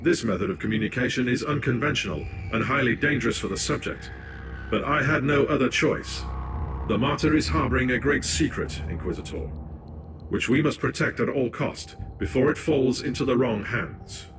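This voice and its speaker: An actor voicing a solemn machine spirit that speaks through a priest's body in a video game, formal and measured. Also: male voice, mid-range voice, music in the background, natural voice. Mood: solemn, grave. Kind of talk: scripted video game voice acting.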